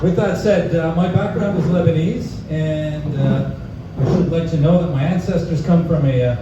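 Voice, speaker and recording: A man's voice: fairly high pitch, moderate pace. A young Canadian man doing a live stand-up set, casual and full of "uh" fillers, chuckling at his own jokes. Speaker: stand-up comedian. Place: pub comedy room, live audience. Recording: echoey bar room, distant mic, dull sound, noisy.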